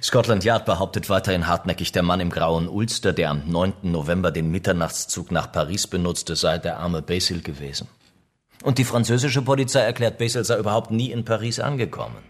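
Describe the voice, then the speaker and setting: An actor playing a worldly, cynical middle-aged gentleman in a German radio drama, speaking in an urbane, patronising way full of aphorisms. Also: deep voice, brisk. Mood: dryly amused.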